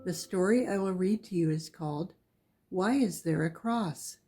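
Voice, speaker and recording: A woman reading a rhyming children's picture book aloud with a measured, sing-song delivery. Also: fairly low pitch, dark tone, faint music behind. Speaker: guest story reader.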